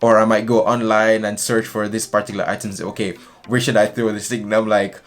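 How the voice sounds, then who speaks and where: Low-voiced young man from Jamaica. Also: fast. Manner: chatty, animated with hand gestures. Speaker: vlogger. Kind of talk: casual vlog to camera. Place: small indoor room, decorated shelves.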